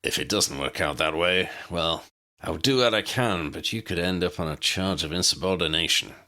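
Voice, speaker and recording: A man as a gruff, gravelly commandant. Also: deep voice, moderate pace, pushed and bright. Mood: measured, knowing. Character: gruff but fair male commandant, cigar-smoking superior.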